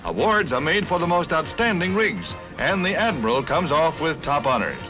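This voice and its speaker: Man, American accent, 1950s newsreel narrator, swooping lively intonation, punchy dramatic delivery, music behind. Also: on the high side, normal speed. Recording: vintage 1950s newsreel soundtrack.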